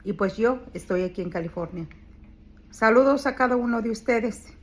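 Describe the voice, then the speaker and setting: A woman's voice: on the high side, moderate pace. Older Purépecha-accented woman. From Michoacán, Mexico. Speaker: vlogger. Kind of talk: casual home vlog. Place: home in California.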